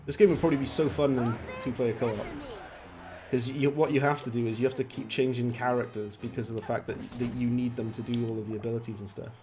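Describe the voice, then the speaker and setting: A man's voice: medium pitch, steady tone, quick pace. A man with a Welsh accent giving chatty, laughing gameplay commentary as he talks to his viewers. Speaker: gaming streamer. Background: game music and sound effects.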